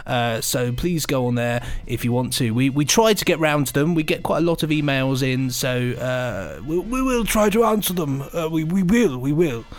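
A man's voice: fairly high pitch, expressive, normal speed, hardly any pauses. A British man co-hosting a podcast in casual, rambling banter, chatty, jokey and self-mocking. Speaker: podcast co-host.

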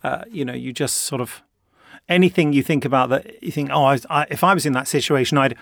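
A man hosting a podcast, telling stories in a quick and animated way. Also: mid-range voice, gravelly, no room sound, studio quality. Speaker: podcast host and editor. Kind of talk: casual podcast chat.

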